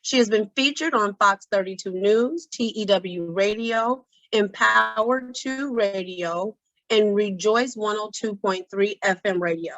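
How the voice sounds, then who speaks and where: Raspy woman hosting an online event. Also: medium pitch, a little slow, fairly bright tone, no room sound. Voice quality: raspy this evening. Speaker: host of an online symposium. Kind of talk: event introduction and hosting on a video call. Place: indoors on a video call. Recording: dull video-call audio.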